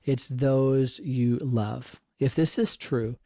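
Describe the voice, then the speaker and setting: A man's voice: mid-range voice, takes it slowly, dark tone, no echo, studio quality. A middle-aged man hosting a podcast, giving earnest advice in a casual way and often restarting his sentences. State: slightly froggy voice. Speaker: podcast host.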